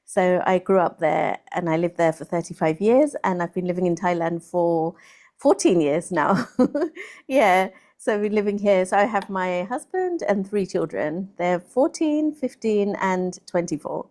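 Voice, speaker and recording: A woman, a tutor talking warmly and encouragingly to a student on a video call. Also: on the low side, animated, measured pace. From Kerala, India; raised in London. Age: 40s. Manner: friendly, encouraging, soft-spoken. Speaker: English tutor. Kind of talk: casual video call conversation.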